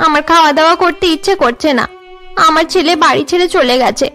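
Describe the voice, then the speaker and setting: A woman's voice: high-pitched, fairly quick, fairly bright tone, soft background music, pitched above the performer's own voice. A woman voicing a sad, self-blaming married woman in a melodramatic cartoon, with a plaintive, regretful manner. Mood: remorseful, sad.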